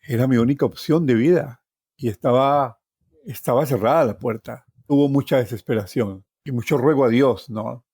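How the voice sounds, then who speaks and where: Mellow Colombian man in his sixties reminiscing. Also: mid-range voice, normal speed, husky, no room sound, clean studio sound. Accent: Colombian Spanish. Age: 60s. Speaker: storytelling guest journalist. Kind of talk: personal reminiscence, conversational storytelling. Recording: music behind the voice. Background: plucked guitar music.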